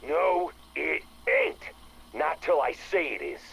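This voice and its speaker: An actor playing a stubborn, devout biker, folksy and defiant, in a game audio drama. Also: male voice, a little high, sing-song, takes it slowly, vocal fry, husky, muffled recording, heavily acted. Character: stubborn, devout small-town biker bent on rescuing survivors. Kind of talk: game radio drama dialogue.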